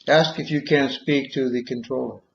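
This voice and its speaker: A man guiding a meditation in measured short phrases with long pauses, on a dull, muffled recording. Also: medium pitch, fairly even intonation, gravelly. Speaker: guided meditation leader. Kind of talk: guided meditation, slow soothing instruction.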